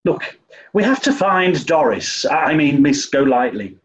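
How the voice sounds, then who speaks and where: An actor playing a dim-witted, snobbish English gentleman with an upper-class accent, flustered and hesitant, in a 1940s radio play style. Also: fairly high pitch, very animated, measured pace, muffled recording. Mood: flustered, haughty.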